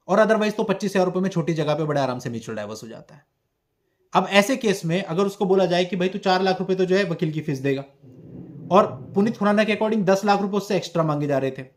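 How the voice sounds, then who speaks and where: A man giving explanatory commentary on a legal case, speaking emphatically with rhetorical questions and mixed-in English terms. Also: sits high. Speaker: host of a legal issues video channel.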